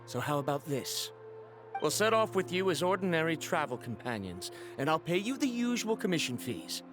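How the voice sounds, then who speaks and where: Polite stubborn scholar gentleman in a game, lighter pitch, expressive, music underneath. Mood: formal persuasion. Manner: polite, measured, formal wording. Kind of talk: video game voice acting.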